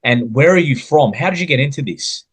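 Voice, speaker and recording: Podcast host, male, Australian accent, mid-pitched voice, earnest and wordy delivery. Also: lively intonation, a little fast, slightly rough. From South Australia. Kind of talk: podcast interview.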